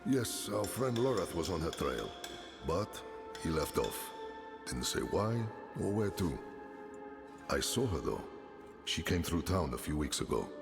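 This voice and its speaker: A gentle older town elder speaks in a fatherly, unhurried way. His low, gravelly voice is lively in pitch and carries a long hall reverb over the game music. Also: full character voice. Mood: calm kindly. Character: kindly older male town leader, gentle and steady.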